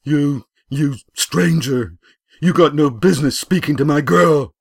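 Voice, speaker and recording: A man voicing a loud, bullying, heavy-set village boy in a storybook, with rough, taunting speech. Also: medium pitch, animated, takes it slowly, no room sound, clean studio sound, pitched above the performer's own voice. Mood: furious. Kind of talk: storybook character voice.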